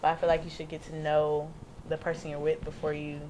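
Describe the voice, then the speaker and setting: Female, about twenty, lower-pitched informal panel guest with faint room noise. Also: monotone, fairly quick. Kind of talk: casual chat.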